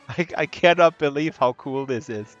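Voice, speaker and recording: Hoarse man rambling excitedly, dull lo-fi audio. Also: medium pitch, moderate pace. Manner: excitable, rambling, gushing with amazement. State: hoarse from talking all day. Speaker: live streamer, virtual world tour guide. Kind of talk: casual live-stream commentary. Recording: dull lo-fi stream audio with music underneath. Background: music playing.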